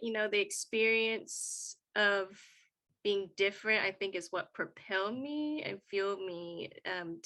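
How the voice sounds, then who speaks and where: A woman from the United States talks earnestly on a discussion panel. Her tone is bright and forward, and she stops often on soft fillers as she works through her thoughts. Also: medium pitch, vocal fry. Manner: earnest, reflective, with frequent fillers.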